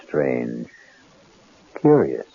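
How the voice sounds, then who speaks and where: A man acting as the grave host of a radio mystery anthology, narrating in a measured, theatrical, musing way. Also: extremely low pitch, wildly swooping pitch, a little hiss or hum, full character voice. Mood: ominous, musing. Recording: muffled old radio broadcast. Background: faint music.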